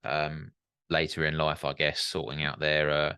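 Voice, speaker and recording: A man's voice: very deep voice, monotone, moderate pace, sharp tone, clean tone, no room sound, clean studio sound. A young man hosting a podcast interview, chatty and hesitant, full of restarts and tag questions. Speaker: podcast host. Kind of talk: casual interview chat.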